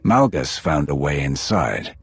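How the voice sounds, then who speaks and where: An actor playing a stern Sith warrior in a video game, terse and commanding, with a gravelly, husky voice. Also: male voice, a bass voice, very animated, a little slow, dry recording, soft background music, exaggerated voice. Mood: wary, focused. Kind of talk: video game cutscene dialogue.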